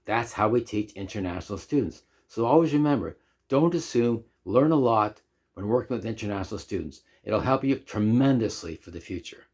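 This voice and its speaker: A man's voice: fairly low pitch, animated, a little fast, darkish tone. A Canadian man in his fifties gives an instructional talk on teaching, stressing and repeating his key points and asking rhetorical questions. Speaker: ESL teacher. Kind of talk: instructional monologue.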